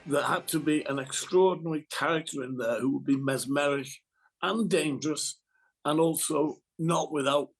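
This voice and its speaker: A man reminisces in an interview with a gravelly, mid-range voice. He is articulate and deliberate, rephrasing as he goes. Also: medium pitch, rough recording. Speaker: TV writer interviewee.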